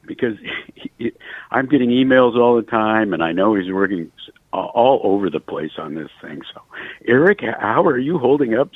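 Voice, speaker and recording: Very deep male caller on a phone line. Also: no echo. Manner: hesitant, halting. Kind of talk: casual call-in chat. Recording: tinny, band-limited phone line.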